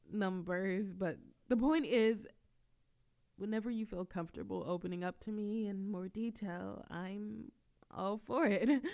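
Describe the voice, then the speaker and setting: Lovestruck female yandere kidnapper voiced by a woman, ASMR roleplay: slow delivery, giggly, doting, coaxing, medium pitch. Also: darkish tone, close to an everyday voice. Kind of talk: ASMR roleplay audio acting.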